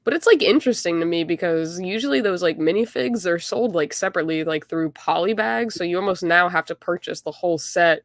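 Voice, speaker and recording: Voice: mid-range voice, a little fast, few pauses, a bit bright, no room sound, studio quality. A podcast co-host chatting casually, sprinkling "like" through every sentence. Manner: lots of "like", hedging.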